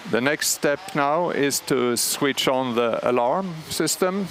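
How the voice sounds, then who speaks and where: A man with a Swedish accent calmly explaining, step by step, how an old radio transmitter is started, pausing often with fillers. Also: mid-range voice, slight background noise, rough recording. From Sweden. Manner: measured, explanatory, frequent pauses and fillers. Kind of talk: live technical commentary. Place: large machine hall at a radio station. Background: humming machinery and alarm bells.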